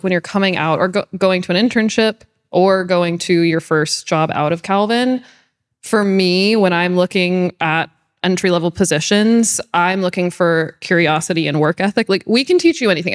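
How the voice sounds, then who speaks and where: A woman answering a panel question in a casual, quick way, with restarts and filler words. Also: lowish voice. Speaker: alumna panelist.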